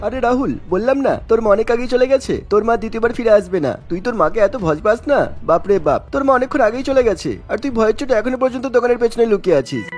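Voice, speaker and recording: Rebellious animated teen lad, cocky and slangy, high quick delivery, male actor. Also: soft background music. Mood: teasing. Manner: brash, slangy. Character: cocky, rebellious teenage boys sneaking cigarettes.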